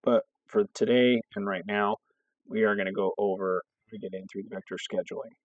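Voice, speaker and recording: Hoarse man walking through software steps. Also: lowish voice, brisk, no echo, muffled recording. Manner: steady step-by-step explaining, frequent "okay" check-ins. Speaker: fire district staff trainer. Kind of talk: screen-recorded software walkthrough.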